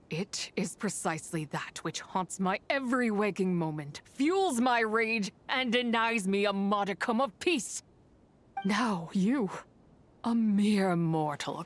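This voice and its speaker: A woman's voice: mid-range voice, lightly acted. An actress playing an ancient, wrathful female spirit in a video game, speaking solemn, archaic lines with brooding, imperious drama. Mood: bitter. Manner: solemn, archaic, theatrical phrasing. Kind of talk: dramatic scripted game dialogue.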